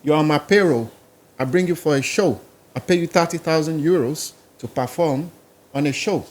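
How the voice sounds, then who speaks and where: A man's voice: on the high side, moderate pace, a little mellow. Nigerian man telling his story casually. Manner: rambling, casual, listing places and languages. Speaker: guest musician. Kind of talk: podcast interview.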